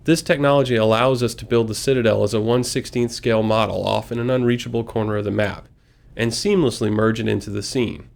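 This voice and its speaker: A man with a lowish, creaky voice gives scripted commentary. He explains technical work as a game developer. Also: fairly even intonation, brisk, darkish tone.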